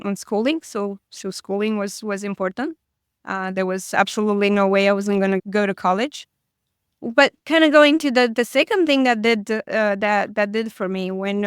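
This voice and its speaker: A woman's voice: medium pitch, clear, resonant tone, dry recording, clean studio sound. A woman, a guest chatting casually in an interview, hesitant with frequent fillers and restarts. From Romania.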